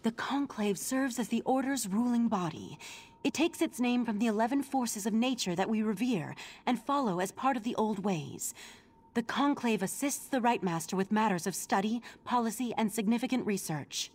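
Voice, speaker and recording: A woman's voice: fairly high pitch, animated, moderate pace, darkish tone. A woman playing an earnest, troubled mage of a scholarly order in a video game, speaking formally and precisely. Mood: troubled, puzzled. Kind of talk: scripted video game character dialogue.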